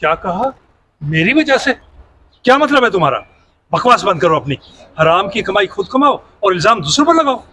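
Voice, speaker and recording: Man playing an emphatic middle-aged customer, highish voice. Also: big pitch swings, moderate pace, sharp tone, a little hiss or hum, exaggerated voice. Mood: indignant. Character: older customer, quick to bristle, later remorseful. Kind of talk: amateur moral short-film acting.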